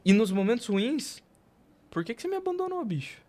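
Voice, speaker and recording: A man's voice: very high-pitched, sing-song, a little fast, a little mellow. A man with a Brazilian accent hosting a podcast in casual conversation. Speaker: podcast host.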